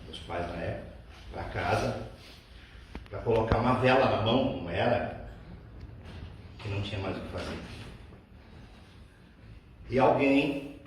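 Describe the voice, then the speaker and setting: A man with a fairly low voice and a Rio Grande do Sul accent tells his story in measured, short phrases. The room echoes heavily around him, and clear noise sits behind his voice. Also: distant mic. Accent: southern Brazilian, Rio Grande do Sul. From Santa Maria, southern Brazil. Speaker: spiritist medium, guest speaker. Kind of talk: personal testimony to a group. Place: echoey meeting room with a group.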